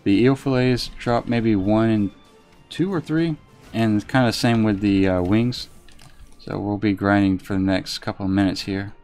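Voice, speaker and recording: A soft-spoken man with a lowish voice talks through a game on a live stream, reserved and unhurried. He chats with viewers between tasks, with faint game music underneath. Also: fairly even intonation. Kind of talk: casual gameplay commentary, reading chat.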